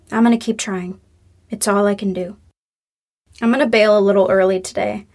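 A woman's voice: medium pitch, steady tone, unhurried, a little mellow. A woman playing a grieving young woman in an audio drama, apologetic and wryly hopeful, speaking casually and sincerely. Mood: reflective, sincere. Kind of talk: naturalistic audio drama acting.

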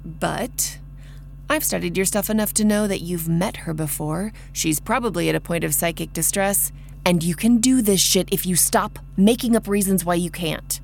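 A woman's voice: fairly low pitch, normal speed, creaky, darkish tone, slight background noise. A woman playing a ruthless, impatient teenage-looking vampire in an audio drama, blunt and sardonic as she acts and narrates. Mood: bossy. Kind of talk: audio drama acting with first-person narration.

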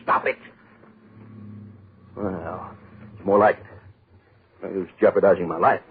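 A man's voice: sits low, steady tone, unhurried, gravelly, a little hiss or hum. An actor playing a pompous, irritable wealthy middle-aged man who blusters, pleads and repeats himself, in 1940s American radio horror style. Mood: irritated, blustering. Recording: muffled old 1940s radio recording.

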